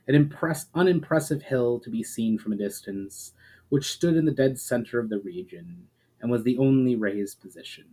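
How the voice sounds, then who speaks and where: A man reading aloud as an author and host, with expressive, measured phrasing that falls away softly at the ends of sentences. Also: medium pitch, rough recording. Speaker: author and channel host reading his own book. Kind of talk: dramatic storybook reading of fantasy prose.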